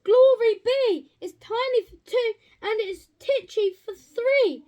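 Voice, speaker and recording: A child playing a very high, plaintive little old lady. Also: takes it slowly, darkish tone, muffled recording. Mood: exasperated. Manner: exclamatory, plaintive. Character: little old lady, put-upon, grumbling, pleading, dramatic.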